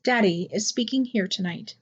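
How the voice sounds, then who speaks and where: A woman voicing a gentle, resolute mother in a picture book read aloud. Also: medium pitch, normal speed, slightly rough, muffled recording, close to an everyday voice. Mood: gentle explaining.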